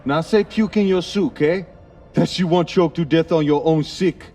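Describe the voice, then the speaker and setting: A man's voice: a little high, moderate pace, a little mellow, close to an everyday voice. An actor playing a crude, sneering spacer crewman in a video game, profane and taunting. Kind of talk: video game character acting. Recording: distant, clear music behind.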